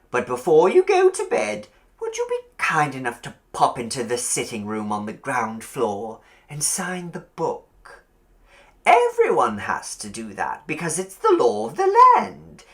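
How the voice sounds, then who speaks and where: A man voicing a kindly, eccentric middle-aged landlady in an audiobook, gushing and sing-song with drawn-out words and a faintly eerie sweetness. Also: mid-range voice, fairly bright tone, heavily acted. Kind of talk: audiobook character voice.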